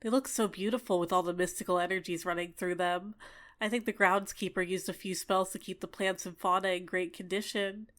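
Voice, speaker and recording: A woman voicing a bubbly, clingy fairy with a sweetly menacing edge in an ASMR roleplay, talking in an excitable, coy, chatty way. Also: fairly low pitch, a little fast, close to an everyday voice. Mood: content, curious. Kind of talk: ASMR roleplay, scripted character acting.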